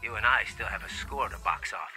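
An actor playing a cocky, taunting Irish assassin in a video game, with an Irish accent and a sly drawl, heard on a recorded message. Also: male voice, lowish voice, a little fast, sharp tone, rough recording. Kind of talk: video game cutscene acting. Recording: recorded message with music behind.

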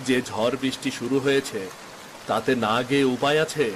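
A man's voice: medium pitch, fairly even intonation, normal speed, a little hiss or hum, natural voice, poor audio. A man voicing village men in a dramatic folk-tale cartoon, speaking. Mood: reproachful. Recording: music behind the dialogue.

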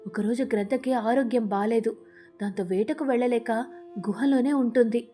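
A woman's voice: fairly high pitch, normal speed, dark tone, faint music behind. A woman narrating a scripted storybook tale as a storyteller.

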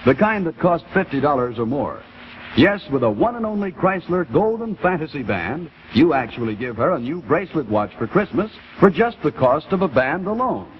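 A middle-aged American man giving a polished, deliberate scripted sales pitch as a 1950s television announcer. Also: sing-song. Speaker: commercial announcer. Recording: muffled 1950s television broadcast with faint noise.

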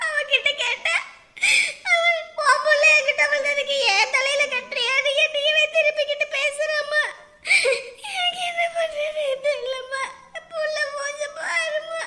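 A young mother in a family melodrama. Her voice is extremely high and very sharp, tearful and aggrieved, with a wailing, complaining delivery. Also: steady tone, moderate pace, a little hiss or hum, heavily acted. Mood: frantic, wailing. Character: distraught, aggrieved young mother with an injured child. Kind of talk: melodramatic family drama acting.

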